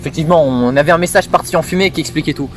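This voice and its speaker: Man as high-voiced, quick-talking earnest survivor. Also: close to an everyday voice. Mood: focused.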